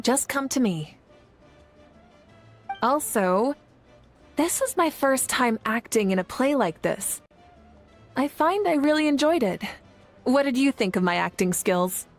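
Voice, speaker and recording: A young warrior woman in a video game, composed and earnest. Her voice sits a little high, with a friendly, curious manner, over clear background music. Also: animated, measured pace, pauses often. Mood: friendly, sincere. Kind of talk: video game dialogue acting.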